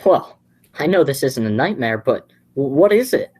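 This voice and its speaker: An exasperated young leading man played by a teenage girl in a comic amateur radio play. The voice is low and swings widely, with a theatrical, sardonic edge to each reply. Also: sing-song, moderate pace, darkish tone, husky, heavily acted. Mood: incredulous.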